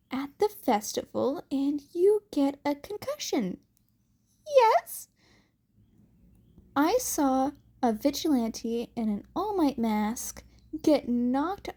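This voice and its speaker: Woman doing a teen girl grilling her friend, high voice, slow, pointed, stern. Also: dark tone, full character voice. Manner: pointed, insistent. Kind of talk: fan fiction audio reading.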